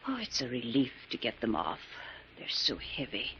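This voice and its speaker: Wealthy older widow, genteel, admonishing, bright low voice, radio drama, noisy vintage recording. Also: female voice, huge pitch swings, normal speed, gravelly, highs cut off, heavily acted. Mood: uneasy. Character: wealthy, stern but caring older widowed aunt. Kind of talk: 1940s radio drama acting.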